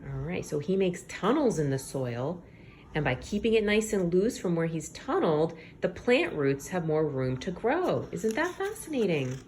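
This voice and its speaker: A woman teacher reading aloud to young children, expressive, pausing to explain things simply and check in with her listeners. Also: lowish voice, a little hiss or hum. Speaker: elementary school teacher.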